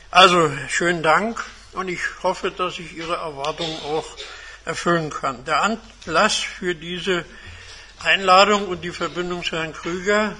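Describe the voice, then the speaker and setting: A man of seventy-five giving a measured, formal autobiographical talk to a seminar as a guest speaker. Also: sits high, sharp tone, slight background noise. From Germany. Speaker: guest speaker, retired engineer and academic. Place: seminar room.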